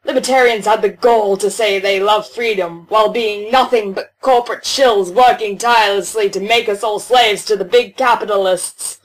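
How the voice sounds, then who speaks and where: A woman's voice: mid-range voice, steady tone, moderate pace, hardly any pauses, low-quality recording. A woman narrating a scripted first-person story.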